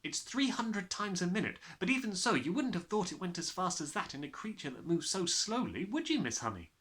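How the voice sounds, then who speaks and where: Man playing an earnest high-pitched little girl. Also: sing-song, fairly quick, a bit bright. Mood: eager, curious. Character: precocious earnest little girl, bright and eager.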